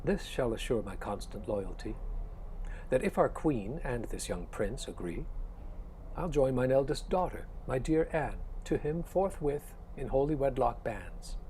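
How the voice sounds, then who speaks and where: Mellow, solemn, vengeful nobleman played by a man. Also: mid-range voice, lively intonation, unhurried, slight background noise, close to an everyday voice, rough recording. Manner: solemn, formal verse delivery. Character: wronged, vengeful English nobleman, a kingmaker. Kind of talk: Shakespearean audio drama acting.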